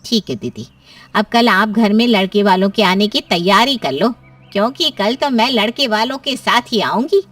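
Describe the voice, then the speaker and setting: A woman's voice: medium pitch, lively intonation, moderate pace, fairly bright tone, recorded from a distance, soft background music. A woman playing a reassuring, persuasive middle-aged aunt in story dialogue, emphatic and coaxing.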